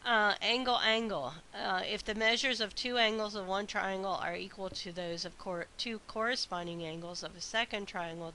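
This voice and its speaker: A woman math instructor recording a lecture while congested from a sinus infection, her voice weak and stuffy, explaining slowly with frequent uhs and ums. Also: fairly low pitch, vocal fry, sharp tone, rough recording. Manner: slow, methodical explaining with frequent uh and um. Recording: faint hiss.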